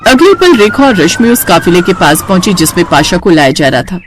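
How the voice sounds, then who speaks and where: A woman's voice: on the low side, sing-song, fairly quick, background noise. Woman narrating a scripted story.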